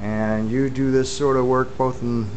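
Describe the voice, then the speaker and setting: A man behind the camera hosting a relaxed, admiring tour of a waterfront restaurant, chatting with the builder as he walks. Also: medium pitch, measured pace, muffled recording, a little hiss or hum. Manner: friendly, admiring, many fillers. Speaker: tour host filming a restaurant. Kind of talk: casual walk-through tour. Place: outdoor waterfront restaurant and bar.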